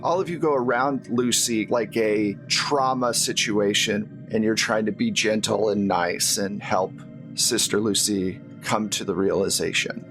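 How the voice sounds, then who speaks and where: A man's voice: on the low side, fairly even intonation, a little slow, a bit bright, faint music behind. A man running a tabletop roleplaying game as game master, talking conversationally to the players.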